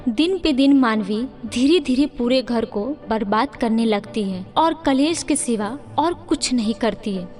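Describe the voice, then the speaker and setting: A woman narrates a scripted moral story in a very high voice. She takes her time over each phrase, with long reverb and faint music around her. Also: lively intonation, unhurried, hardly any pauses, a little mellow. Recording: reverberant hall-like sound.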